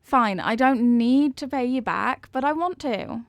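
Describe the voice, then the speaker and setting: High-voiced, giddy, affectionate headless horsewoman girlfriend, acted by a woman. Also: a little slow, no echo. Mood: playful, affectionate. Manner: affectionate, playful, gushing. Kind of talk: intimate ASMR roleplay audio drama.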